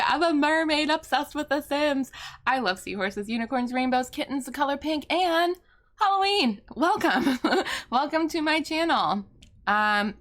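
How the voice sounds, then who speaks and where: A young American woman chats in a bright, upbeat voice with a slight hoarseness. She rambles cheerfully, full of ums and asides, into a desk mic at home. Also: sits high. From United States. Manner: bubbly, chatty, rambling with fillers. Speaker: YouTuber and streamer. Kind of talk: casual chat over a building video. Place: home room with pastel backdrop and fairy lights.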